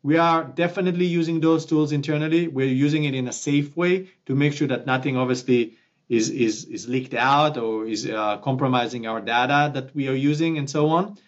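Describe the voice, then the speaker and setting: A man, a founder answering questions in a remote interview, animated and prone to restarts. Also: medium pitch, moderate pace, muffled recording. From Israel. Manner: animated, gestures with both hands, many restarts. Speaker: company founder guest. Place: small office at home, indoors. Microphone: webcam at desk, video call.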